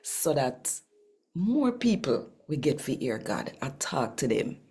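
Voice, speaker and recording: Low, husky Jamaican woman. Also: big pitch swings, slow, warm tone. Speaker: host and scripture reader. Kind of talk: chatty channel introduction and scripture reading.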